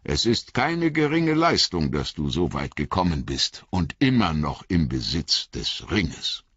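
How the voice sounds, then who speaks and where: A man reading an audiobook voices an old wizard who speaks gravely and kindly, slow and measured. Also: low voice, big pitch swings, fairly bright tone, no room sound, lo-fi sound, full character voice.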